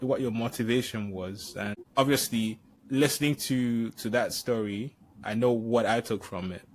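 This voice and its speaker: A man who grew up in Nigeria, hosting a podcast interview and speaking hesitantly, often repeating words as he thinks. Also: medium pitch. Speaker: podcast host. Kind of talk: conversational interview.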